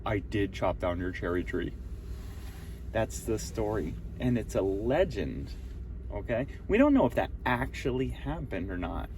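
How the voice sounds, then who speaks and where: Man teaching informally, distant amid loud noise. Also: fairly low pitch, sing-song, measured pace. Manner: didactic, prompting, repeats key terms. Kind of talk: informal one-on-one lesson with questions and explanations. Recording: distant mic, very loud outdoor traffic-like noise.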